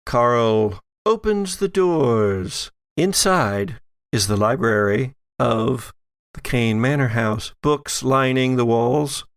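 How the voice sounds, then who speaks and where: A man narrating a horror-and-humor tabletop game as game master, in measured, suspenseful storytelling with pauses. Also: medium pitch, expressive, clear, resonant tone, no room sound, clean studio sound. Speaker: game master of an actual-play podcast.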